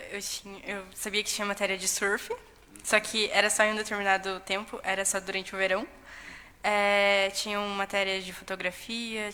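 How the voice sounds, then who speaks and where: A young woman with a Brazilian accent speaks in a very bright, forceful voice with rather even pitch. She recounts things informally and haltingly, in a hall with long reverb. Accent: Brazilian Portuguese. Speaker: exchange student giving a testimony. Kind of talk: informal spoken account at a public session. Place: council chamber.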